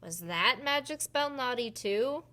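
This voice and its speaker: Lady doing a naughty little fairy girl for a kids book, confused and innocent, slow talker. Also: on the high side, animated, bright, forceful tone, voice pushed up. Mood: sheepish.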